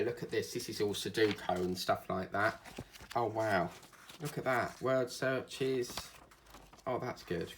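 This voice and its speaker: A man's voice: on the low side, creaky, background noise. A man with an Essex accent, chatting casually and rambling through his day while he works on a craft video for his channel. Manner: rambling and chatty, with frequent fillers. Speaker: diamond painting vlogger.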